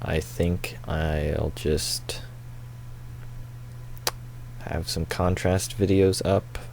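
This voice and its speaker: A man's voice: low-pitched, steady tone, extremely slow, gravelly, darkish tone, a little hiss or hum. A young man hosting a gaming video with casual, informal, rambling commentary. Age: young adult. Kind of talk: casual let's play commentary.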